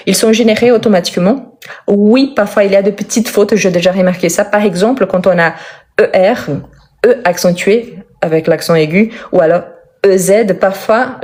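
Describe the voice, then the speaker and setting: Low, mellow-voiced Brazilian-born woman teacher. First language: Portuguese. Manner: slow, careful, simple speech for learners; chatty, repeats words for emphasis. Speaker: French teacher. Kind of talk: live-stream French lesson.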